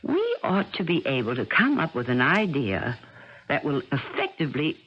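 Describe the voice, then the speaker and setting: A woman playing an anxious yet resolute middle-aged mother in a radio mystery drama, with a husky, theatrical delivery. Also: low-pitched, moderate pace, heavily acted. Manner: expressive, theatrical. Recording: dull old radio recording.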